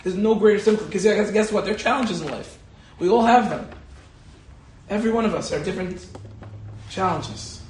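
A man, a rabbi, giving an animated Torah lecture and telling a personal story, repeating key phrases for emphasis. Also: high voice, brisk, pauses often, roomy sound, slight background noise, rough recording. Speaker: rabbi teaching a Torah class.

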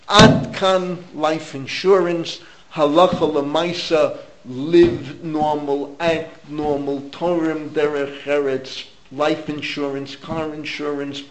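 Older American rabbi with a gravelly, higher-pitched voice. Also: male voice, poor audio. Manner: emphatic, opinionated, repeats phrases for emphasis. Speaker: rabbi giving a Torah class. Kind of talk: informal religious lecture with Hebrew terms.

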